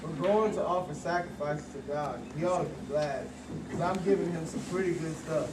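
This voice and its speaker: Man playing a sullen, high-voiced puppet Cain, echoing. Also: moderate pace, close to an everyday voice. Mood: grudging. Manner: sullen, complaining. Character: Cain, a grudging, jealous, whiny brother. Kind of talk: amateur church puppet show acting. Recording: distant roomy recording, loud noise and crowd.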